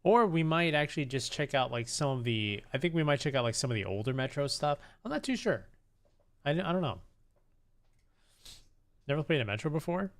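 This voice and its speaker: A man's voice: on the high side, lively intonation, a little fast, long pauses. A man hosting a livestream, chatting casually to viewers in a rambling, hesitant way and often repeating himself. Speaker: live streamer host.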